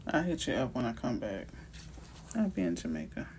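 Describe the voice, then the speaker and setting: Middle-aged woman, New Orleans accent, chatty live-stream host, relaxed slang, faint background hiss, casual phone mic. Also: a bass voice, moderate pace. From New Orleans, Louisiana. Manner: chatty and playful, with slang and asides. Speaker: realtor hosting a live Q&A. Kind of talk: casual live-stream chat answering viewers.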